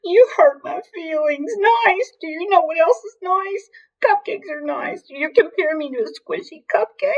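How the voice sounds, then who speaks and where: Oversensitive female hippo for a storybook: high, melodramatic, tearful, wounded wailing. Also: expressive, normal speed, a little mellow, highs cut off. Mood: wounded, indignant.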